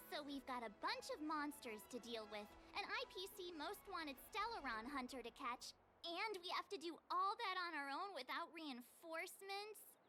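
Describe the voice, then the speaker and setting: A plucky, outspoken teenage girl adventurer from a video game. Her voice is extremely high and swings widely in pitch. She is chatty and casual, with music faintly behind her. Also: lively intonation, normal speed, sharp tone, heavily acted. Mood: wry.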